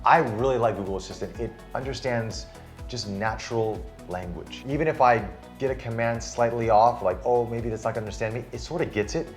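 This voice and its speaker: A man's voice: mid-range voice, fairly quick, faint music behind. A man reviewing a phone in casual chat, enthusiastic and demonstrating it hands-on. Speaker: tech reviewer. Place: Singapore studio.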